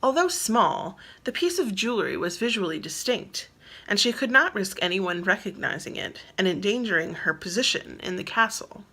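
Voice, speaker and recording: A woman's voice: medium pitch, expressive, moderate pace, pushed and bright, husky. A woman reading her own novel aloud as narrator, in scripted storybook style.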